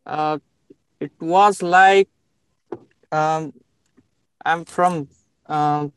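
A young man from Nepal answers interview questions slowly and haltingly, reaching for words between frequent fillers. His voice sits fairly high for a man and sounds smooth and clear. The recording is dry and close, with no room sound. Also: fairly even intonation, a bit bright, dry recording. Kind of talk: casual interview.

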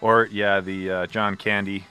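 Guy on a podcast listing new games, bright voice, laid back with lots of uhs. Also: on the low side, level tone, measured pace, clean tone, soft background music. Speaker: podcast co-host. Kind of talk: casual chat, presenting game news.

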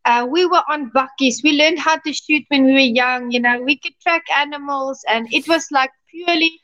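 A woman's voice: high-pitched, moderate pace, fairly bright tone, muffled recording. A woman from South Africa with a South African accent, a pastor telling stories in a casual interview. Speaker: pastor and podcast guest.

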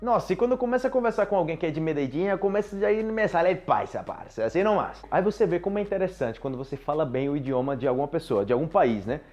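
A man's voice: on the high side, animated, fast talker, few pauses, a little mellow, muffled recording. A Brazilian man with a Brazilian Portuguese accent, teaching Portuguese to foreigners in a chatty, repetitive way, talking straight to his viewers. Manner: chatty, repeats himself, addresses viewers directly. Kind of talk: casual teaching monologue.

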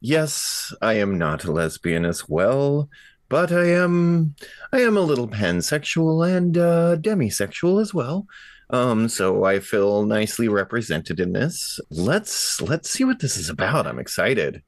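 A man's voice: mid-range voice, sing-song, a little slow, no room sound. A man chatting casually as a player on a tabletop roleplaying podcast. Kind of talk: casual self-introduction chat.

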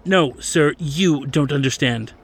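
A man's voice: a little high, animated, fairly quick, breathy edge, voice pushed up. A man voicing an urgent, restless young man. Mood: desperate. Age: young adult. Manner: urgent, insistent.

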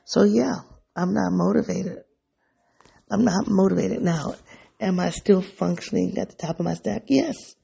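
A man with a raspy, tired voice talking through a personal reflection to his viewers, musing and rambling as he searches for answers. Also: high-pitched, unhurried, mellow. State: sleepy, voice going. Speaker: vlogger.

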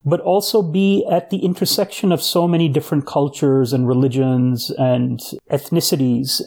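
A man born in Pakistan, a guest on an interview show, explaining history at a measured pace with occasional fillers. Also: mid-range voice, creaky, warm tone, husky. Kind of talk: podcast interview answers.

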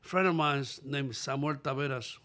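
An older man from the Dominican Republic, a musician answering interview questions in a relaxed, plain-spoken way with short answers. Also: mid-range voice, normal speed. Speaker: guest musician. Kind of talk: casual interview.

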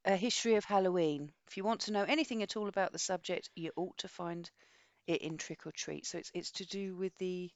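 A woman's voice: lowish voice, a little fast, no echo, highs cut off. A woman co-hosting a podcast, chatting casually about books in a rambling, digressive way. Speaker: podcast co-host. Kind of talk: casual book chat.

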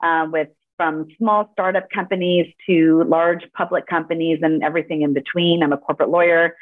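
A woman in her forties with a low voice tells her story warmly and fluently, with a few fillers. She grew up speaking Spanish. Her voice comes through a thin, phone-like line with no room sound at all. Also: steady tone, normal speed, no room sound. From Los Angeles, United States. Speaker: podcast guest, corporate lawyer and author. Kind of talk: interview conversation. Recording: dry, phone-like narrow sound.

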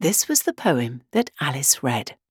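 Woman, storybook narrator, lively swooping pitch, slightly raspy, dry close sound. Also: unhurried, no room sound. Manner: animated, dramatic. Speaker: audiobook narrator. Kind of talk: expressive children's storybook narration.

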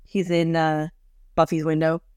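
A woman's voice: deep voice, monotone, measured pace, darkish tone, clean tone, no room sound, clean studio sound. A woman co-hosting a podcast, recapping an episode in casual chat, laughing often and acting out quoted lines. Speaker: podcast co-host.

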